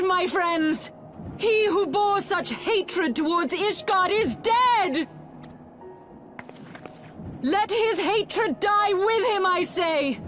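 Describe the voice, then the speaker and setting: High-pitched, impassioned rebel elf woman. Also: fairly bright tone, heavily acted. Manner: oratorical, formal archaic phrasing. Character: impassioned elven rebel leader woman, noble and resolute. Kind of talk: dramatic fantasy video game acting.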